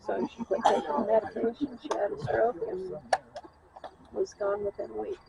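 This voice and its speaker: An adult funeral guest calmly recounting family history in casual conversation. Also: mid-range voice, normal speed, creaky, very noisy. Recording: distant voice over loud crowd.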